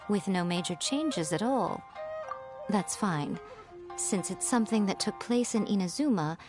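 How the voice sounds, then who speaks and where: A woman's voice: medium pitch, close to an everyday voice. A woman voicing an elegant, playful fox priestess and publishing boss in an anime video game, poised, self-assured and teasing. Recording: music behind the voice.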